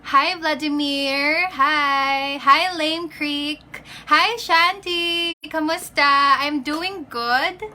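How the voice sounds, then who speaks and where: A woman's voice: sits high, very slow, few pauses, bright, forceful tone. A young woman in her twenties with a Filipino accent, chatting casually on a livestream, greeting viewers by name and slipping into Tagalog. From Philippines. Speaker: pageant candidate.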